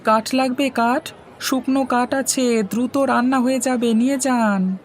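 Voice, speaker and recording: A woman's voice: on the high side, steady tone, a little slow, a faint crowd, slight background noise, lightly acted. A woman voicing an obedient, hardworking poor boy in a cartoon fairy tale, speaking earnestly and politely. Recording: music bed under the voice.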